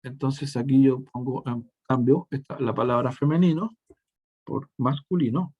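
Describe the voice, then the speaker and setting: A male teacher, walking through a software demonstration step by step and often restarting his sentences mid-thought. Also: medium pitch, fairly even intonation, normal speed, husky, muffled recording. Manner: step-by-step explaining, frequent self-corrections and restarts. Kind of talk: screen-share software tutorial.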